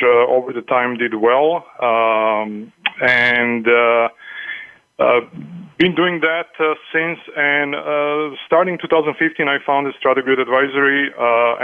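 A man answers interview questions over a phone line, pausing often with uhs and ums. The call sounds tinny and thin, and there is no room echo. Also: mid-range voice. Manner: halting, frequent uhs and ums. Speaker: guest consultant.

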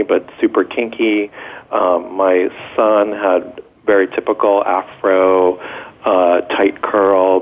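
Deep-voiced man on a tinny phone line. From United States, grew up in New York state. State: getting over a cold. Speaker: interview guest, hair-care entrepreneur. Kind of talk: personal storytelling in an interview.

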